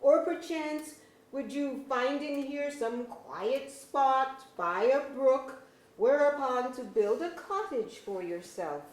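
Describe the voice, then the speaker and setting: A woman acts a mocking woodland fairy in a staged verse play. The voice is high, with slow, sneering delivery, and the room is echoey. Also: animated, darkish tone. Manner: sneering, deliberate, archaic phrasing. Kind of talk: staged reading of verse drama. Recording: echoey auditorium.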